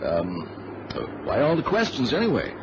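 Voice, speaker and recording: A man playing a calm, authoritative airline captain in a radio drama. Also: medium pitch, moderate pace. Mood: casual, wary. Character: steady, level-headed male airline captain. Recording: noisy, dull vintage radio recording.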